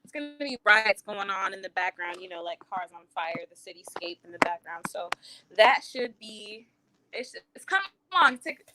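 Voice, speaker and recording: A woman's voice: mid-range voice, animated, moderate pace, heavy background noise. A woman in her twenties, a guest poet and artist from Connecticut, chatting on a video call, bubbly and slangy with plenty of laughs. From Connecticut, USA. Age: 20s. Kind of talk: casual interview chat.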